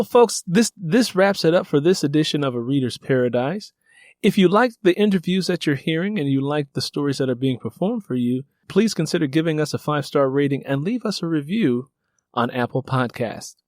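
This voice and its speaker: A man's voice: fairly high pitch, lively intonation, moderate pace, no echo, studio quality. A man hosting a book interview podcast, talking conversationally and reflectively, often repeating and rephrasing for emphasis.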